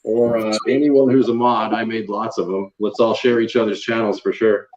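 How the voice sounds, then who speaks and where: A man's voice: lowish voice, level tone, normal speed, muffled recording, a crowd in the background. A man hosting a casual live chat with guests over a video call, talking in an informal, encouraging way and calling people dude. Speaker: livestream host. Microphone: internet video call.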